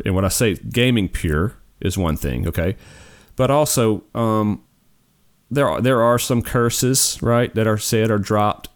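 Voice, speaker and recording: Deep-voiced forty-year-old man podcasting. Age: 40s. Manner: rambling, easygoing, says "man" a lot. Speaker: podcast co-host. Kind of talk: casual podcast chat.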